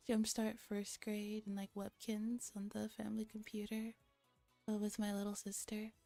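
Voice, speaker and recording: Soft, mellow, whispery ASMR woman. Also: mid-range voice, level tone, measured pace, no room sound, soft background music. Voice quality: soft, breathy, often whispering. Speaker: streamer. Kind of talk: ASMR visual novel read-aloud with casual chat.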